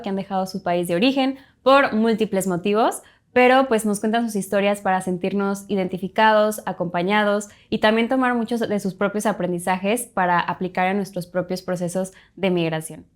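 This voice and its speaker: Woman hosting a podcast, warm and encouraging, lots of "sí" and "claro", middle pitch. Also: fairly even intonation, brisk. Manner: warm, encouraging, many short affirmations. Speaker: podcast host. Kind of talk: friendly interview hosting.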